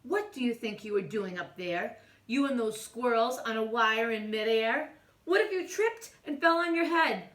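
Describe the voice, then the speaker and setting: A woman plays a frazzled storybook mother cat who scolds with dramatic exasperation. The voice is high and forceful, heard in an echoey room. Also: expressive, normal speed, recorded from a distance. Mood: scolding, worried.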